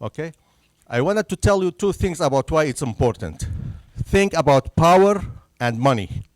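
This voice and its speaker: A man with a Syrian Arabic accent and a somewhat high voice presents to a room at a senior center. He is earnest and keeps checking that his listeners follow him. The sound is dry and close. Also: expressive, normal speed, dry recording. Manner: earnest, checks in with the audience after each point. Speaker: census presenter. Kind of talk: public information presentation.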